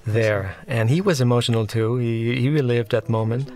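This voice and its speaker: A man's voice: medium pitch, moderate pace, a little mellow. A man giving informal film commentary as its director, reflective and often searching for words. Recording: music behind the voice.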